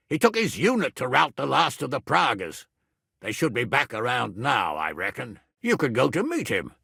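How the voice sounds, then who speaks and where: An actor playing a blustering, irascible middle-aged medieval nobleman in a video game, with a gravelly, raspy voice and a gruff, commanding manner. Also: medium pitch, sharp tone, dry recording, studio quality, heavily acted. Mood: gruff, businesslike. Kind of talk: dramatic video game character dialogue.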